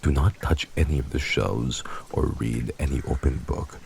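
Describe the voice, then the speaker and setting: Extremely deep, gravelly vampire lord. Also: male voice, darkish tone, slight background noise. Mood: stern, commanding. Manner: formal, grave, measured, commanding. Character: heartless, commanding male vampire lord and mafia boss. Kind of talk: scripted ASMR roleplay audio drama.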